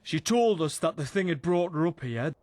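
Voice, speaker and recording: An actor playing a boisterous, rough British adventurer in a fantasy game, telling tales in a salty, wry way. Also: male voice, on the high side, lively intonation, normal speed, a little mellow, close to an everyday voice. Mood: reflective. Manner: salty, foul-mouthed, wry storyteller. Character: boisterous rough male adventurer spinning a monster tale. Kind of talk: in-character storytelling in a fantasy game.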